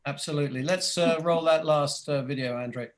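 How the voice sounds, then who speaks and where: Male host, slightly high voice, hesitant and restarting often, on a video call. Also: normal speed. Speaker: interview host. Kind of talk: online interview.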